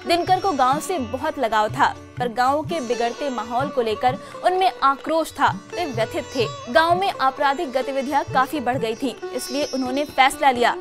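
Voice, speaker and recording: Female documentary narrator, high voice, polished storytelling read, sitar music clearly underneath. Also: moderate pace, few pauses. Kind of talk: scripted narration.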